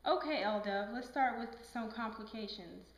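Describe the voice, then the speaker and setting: A woman's voice: a little high, moderate pace, natural voice. A woman playing a patient, firm health educator in a scripted puppet skit, talking in an instructive, emphatic way. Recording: echoey room.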